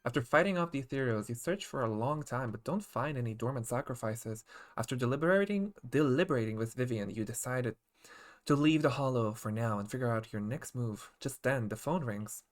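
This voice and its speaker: Animated male game streamer. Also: medium pitch, fairly quick. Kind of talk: casual livestream reactions and reading game text aloud. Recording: faint game music behind.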